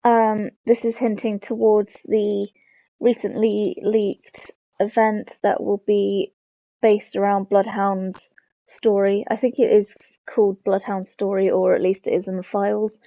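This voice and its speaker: A woman's voice: medium pitch, flat delivery, measured pace, vocal fry, warm tone, dry recording. A woman hosting a game lore video, explaining it informally as she goes. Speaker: host of a game lore channel. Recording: dull lo-fi close mic.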